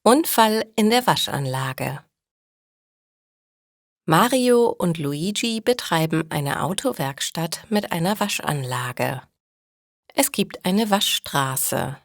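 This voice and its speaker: Slow, lower-pitched woman reading a story. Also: dry recording, studio quality. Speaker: narrator of stories for German learners. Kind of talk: slow, scripted story narration for language learners.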